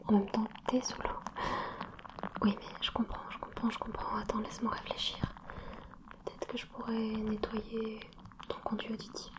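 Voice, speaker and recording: A woman whispering as a gentle mermaid. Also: a little high, completely flat delivery, natural voice, poor audio. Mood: soothing whisper. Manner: soft whispering, slow and soothing; playful, curious, reassuring. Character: gentle caring female mermaid of the deep. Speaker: ASMR creator. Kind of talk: ASMR roleplay.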